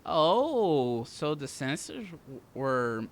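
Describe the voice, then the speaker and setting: A streamer giving casual, halting commentary while playing a game, reacting aloud to the dialogue. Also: mid-range voice, big pitch swings.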